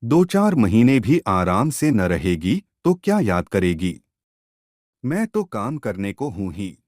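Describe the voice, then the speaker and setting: A man's voice: fairly low pitch, big pitch swings, takes it slowly, darkish tone, no echo, clean studio sound. A man voicing a proud, protective elder co-wife from a village in a storybook, with homespun, scolding speech. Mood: sweetly magnanimous. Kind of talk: storybook character dialogue.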